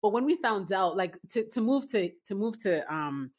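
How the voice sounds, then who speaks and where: A woman from Canada in her forties, a business-owner guest telling her story in a relaxed interview, with lots of fillers and restarts. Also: medium pitch, normal speed. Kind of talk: casual interview conversation.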